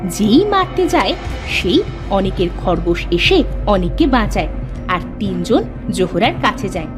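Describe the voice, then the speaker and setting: A woman's voice: mid-range voice, very animated, measured pace. A woman storyteller reading scripted narration for a cartoon fairy tale. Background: background music.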